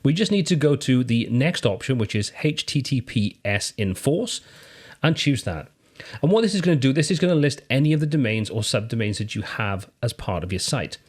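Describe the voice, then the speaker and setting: Lively British man explaining software steps. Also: mid-range voice, fairly quick, breathy edge. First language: English. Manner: friendly step-by-step explaining, lively intonation. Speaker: tutorial host. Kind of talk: screen-recorded software tutorial.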